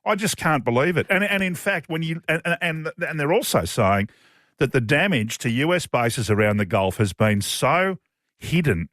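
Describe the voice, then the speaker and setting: An Australian man co-hosting live talk radio, speaking emphatically and repeating himself in a halting flow. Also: on the high side, very animated, a bit bright, no echo, clean studio sound. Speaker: radio talk show co-host. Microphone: close studio radio microphone.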